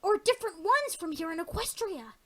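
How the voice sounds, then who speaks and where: A woman's voice: extremely high pitch, brisk, voice pushed up, full character voice. A woman voicing an eager, curious young male dragon in a storybook tale. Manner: excited, inquisitive.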